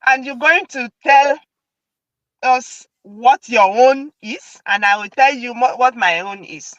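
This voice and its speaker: A woman's voice: fairly high pitch, slow, no echo, dull audio. A woman from Nigeria with a Nigerian accent, hosting a casual chat and laughing often. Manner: laughs often, animated and chatty. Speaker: host.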